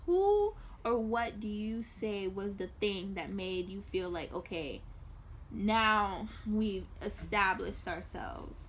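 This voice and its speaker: A woman's voice: medium pitch, animated, takes it slowly, a bit bright, rough recording. A young woman hosting a casual interview, easygoing and chatty, with frequent ums. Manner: easygoing, laughs often, says "um" a lot. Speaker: host. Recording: faint background noise.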